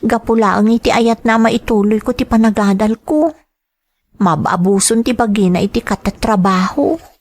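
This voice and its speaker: A woman's voice: a little high, normal speed, a little mellow. A woman playing a devoted, worried young student daughter in an Ilocano radio drama, earnest and concerned. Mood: pensive, troubled.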